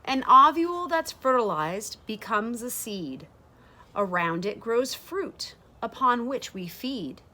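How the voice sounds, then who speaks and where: A woman reads a children's picture book aloud in a fairly high voice. Her pitch rises and falls in a lively way, and she takes her time, placing the rhymes deliberately and explaining as she goes. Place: small sunroom with large windows, indoors.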